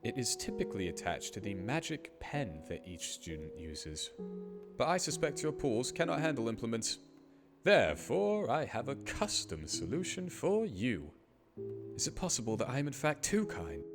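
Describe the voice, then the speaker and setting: A man's voice: mid-range voice, big pitch swings, normal speed, over music. A man playing a pompous, self-congratulating magic school headmaster, formal and melodramatic, in an anime fandub. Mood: self-satisfied.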